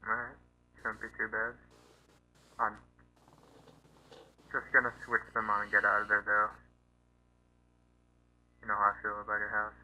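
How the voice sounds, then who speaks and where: Man acting as a very bright-voiced, casual friend. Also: on the low side, monotone, a little slow, long pauses, rough recording. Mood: casual, slightly uneasy. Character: obliging, uneasy young male friend on phone. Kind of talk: naturalistic horror phone-call acting.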